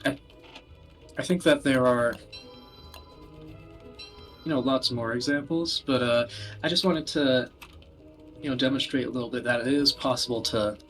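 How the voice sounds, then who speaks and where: A man hosting a casual discussion, speaking haltingly with frequent pauses as he searches for words. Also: mid-range voice, a bit bright, soft background music. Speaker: podcast host. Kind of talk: casual discussion of video games.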